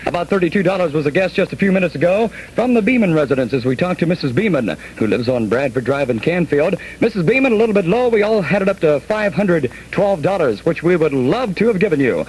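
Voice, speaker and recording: A man's voice: fairly high pitch, lively intonation, fast, hardly any pauses, a little hiss or hum, poor audio. An American man hosting a 1970s Top 40 radio show with DJ patter, on an old AM radio recording. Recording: 1970s AM radio aircheck, music underneath. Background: loud music.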